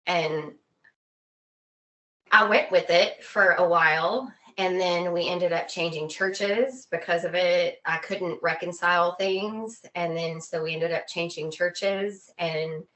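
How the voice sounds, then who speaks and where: A woman being interviewed, giving a casual personal account in halting, deliberate phrases. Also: lowish voice, steady tone, fairly bright tone. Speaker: interview guest.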